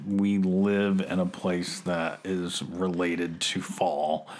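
A man's voice: deep voice, little pitch movement, slow pace, a little hiss or hum. A man co-hosting a casual podcast chat, rambling with lots of fillers and frequent laughter. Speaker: podcast co-host.

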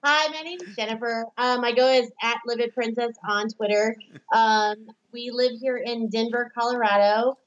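Higher-pitched American woman, slightly muffled sound. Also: fairly even intonation, moderate pace. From United States, Florida and Midwest. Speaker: podcast guest. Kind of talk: casual chat.

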